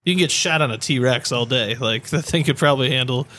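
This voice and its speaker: A man's voice: medium pitch, fairly quick, sharp tone. A man co-hosting a podcast, bantering casually in a joking, slangy way. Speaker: podcast co-host.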